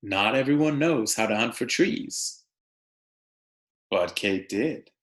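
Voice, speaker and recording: A man reading a picture book aloud like a teacher, with deliberate, emphatic phrasing and conversational asides for young listeners. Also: on the low side, lively intonation, fairly bright tone, reverberant.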